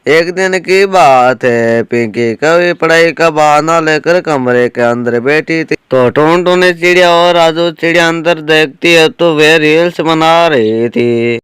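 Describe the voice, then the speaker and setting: A man's voice: on the high side, measured pace, hardly any pauses, a bit bright, smooth, no echo. A man narrating a scripted children's story as a cartoon narrator.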